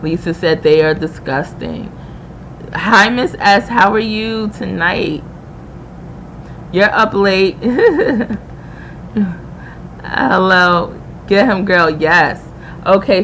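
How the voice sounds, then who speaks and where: A woman's voice: mid-range voice, slow, pauses often, a bit bright, poor audio. Female livestream host, mid-range voice, casual and slangy, explains her opinions at length, audible background noise. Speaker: livestream host and YouTube creator. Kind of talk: casual live-stream commentary reacting to a video.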